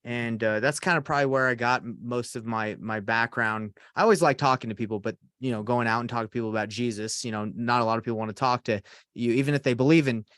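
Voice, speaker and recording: A man's voice: medium pitch, fast talker, fairly bright tone, smooth, no echo, studio quality. A young man in his twenties, a real estate coach being interviewed, talking earnestly with many restarts. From Atlanta, Georgia. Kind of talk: casual podcast interview.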